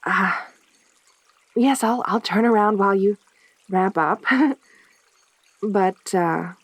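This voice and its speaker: A woman playing a lonely, possessive centaur girl in a soft-spoken audio roleplay, with a halting, stammering delivery. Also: mid-range voice, dry recording. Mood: shy, nervous.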